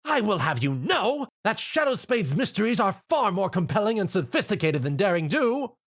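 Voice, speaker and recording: A posh, theatrical unicorn mare from a storybook reading, acted by a man. Her voice is raised high and full of sweeping swoops. She drawls every line with grand refinement. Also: big pitch swings, moderate pace, fairly bright tone, exaggerated voice. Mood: grandly dramatic. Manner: refined, dramatic, says darling.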